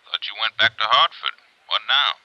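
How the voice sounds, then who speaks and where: An American actor playing a gruff, wisecracking police inspector in a 1950s radio drama, brusque and slangy. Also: male voice, on the low side, expressive, normal speed, sharp tone, dry recording, heavily acted. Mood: sardonic, brusque. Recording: dull 1950s radio recording.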